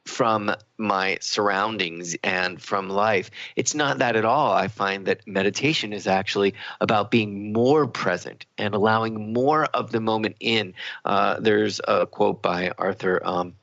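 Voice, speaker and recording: A man's voice: on the low side, fairly even intonation, a little slow, gravelly, fairly bright tone, dry recording, muffled recording. A man co-hosting a talk radio show, speaking in a reflective, conversational way and often rephrasing his points as he explains.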